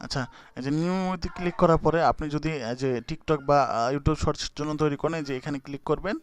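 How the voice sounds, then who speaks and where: A man's voice: a little high, fairly even intonation, fast talker. A young man walking viewers through a video app in an informal, hesitant way, with many fillers and restarts. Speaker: YouTube tutorial host. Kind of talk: casual screen-recorded app tutorial.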